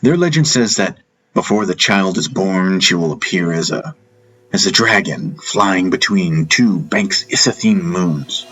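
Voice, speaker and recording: A man narrating a first-person fantasy audiobook in a solemn, measured, reflective manner. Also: sits low, animated, a bit bright, poor audio.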